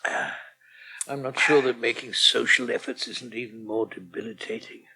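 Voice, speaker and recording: Fussy, gloomy old Englishman, bright-voiced. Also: medium pitch, steady tone, normal speed, creaky, slightly rough, exaggerated voice, poor audio. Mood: mournful, wry. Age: older. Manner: plaintive, dry, genteel grumbling. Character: frail, self-pitying old man, fussy and drily gloomy. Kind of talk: British radio soap acting.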